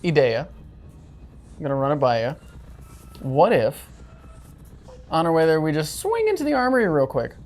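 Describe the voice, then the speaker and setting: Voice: mid-range voice, very animated, moderate pace, pauses often, a little mellow. A player bantering casually in a tabletop role-playing game.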